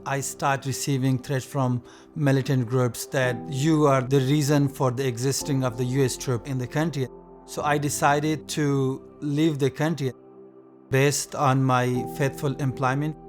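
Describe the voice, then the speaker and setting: A man tells his story in a measured, matter-of-fact way. A clear music bed plays beneath his voice. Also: medium pitch. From Afghanistan. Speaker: program participant. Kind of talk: personal testimonial.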